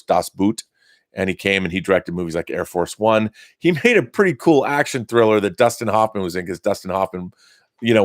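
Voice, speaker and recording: A man's voice: on the low side, dry recording, clean studio sound. A middle-aged man hosting a casual live stream, reading chat messages aloud and rambling with enthusiasm. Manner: rambling, enthusiastic, frequent restarts and laughs.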